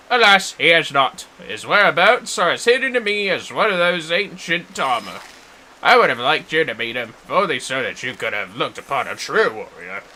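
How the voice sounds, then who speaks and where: A man's voice: high-pitched, sharp tone, voice pushed up, heavily acted, low-quality recording. A man voicing an earnest, wordy warrior mentor in a fantasy game, with a theatrical, formal storytelling delivery. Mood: wistful. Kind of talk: fantasy game quest dialogue read aloud.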